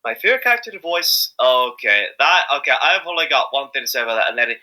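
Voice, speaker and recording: A man speaking as an amateur voice actor being interviewed, chatting casually in a jokey, halting, rambling way. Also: medium pitch, very animated, bright, forceful tone.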